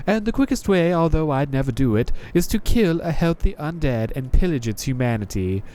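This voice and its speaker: Man putting on a high-pitched, mocking female knight. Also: expressive, fairly quick, mellow, natural voice, rough recording. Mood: sardonic. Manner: sardonic, taunting. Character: contemptuous, mocking, jaded female knight by a bonfire. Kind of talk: visual novel character reading.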